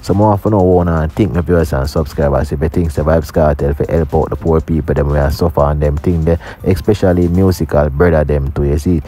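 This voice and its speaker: A man's voice: a bass voice, steady tone, fairly quick, few pauses, mellow. A Jamaican man closing a video by urging viewers to comment, like and subscribe. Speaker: YouTube reviewer. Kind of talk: video outro addressing viewers.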